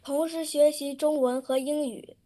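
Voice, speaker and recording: Public speaker, high voice, steady reading of prepared remarks. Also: female voice, moderate pace. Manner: steady recited sentences.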